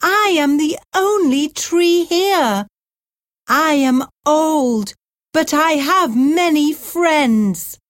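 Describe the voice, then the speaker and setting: A woman voicing an old tree that begs not to be cut down, raising her voice higher and pleading slowly. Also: animated, no room sound, studio quality, exaggerated voice. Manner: pleading, slow. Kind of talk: storybook reading for pupils.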